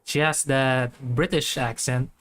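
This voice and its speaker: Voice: mid-range voice, fairly even intonation, takes it slowly, a bit bright. A gamer giving casual, offhand gameplay commentary in short reactions. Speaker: gaming video creator.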